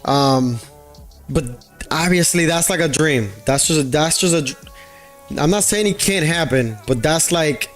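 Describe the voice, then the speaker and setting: Chatty rambling man, slightly high voice. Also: a little slow, fairly bright tone. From Puerto Rico. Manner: rambling, filler-heavy, thinks aloud. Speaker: livestreaming day trader. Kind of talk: casual livestream chat with viewers. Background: faint background music.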